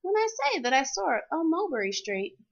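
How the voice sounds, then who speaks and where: Female storyteller for picture-book verse: high voice, very lively sing-song delivery, dull lo-fi sound. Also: brisk, vocal fry. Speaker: storyteller reading a children's picture book aloud. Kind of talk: expressive children's storybook read-aloud.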